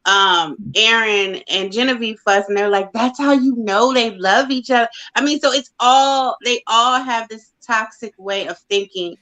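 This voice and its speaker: An emphatic woman co-hosting a casual recap chat. She is opinionated and quick to laugh, talking in a loose, conversational way. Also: a little high, bright, forceful tone, poor audio. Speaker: podcast co-host.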